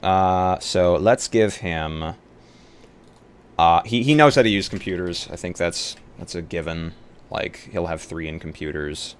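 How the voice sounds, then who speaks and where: A man's voice: low-pitched, measured pace. A man chatting casually on a tabletop roleplay livestream, thinking aloud and bantering with some profanity. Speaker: tabletop roleplay streamer and player. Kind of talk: casual livestream chat while building a game character. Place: live online game stream. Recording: clear background noise.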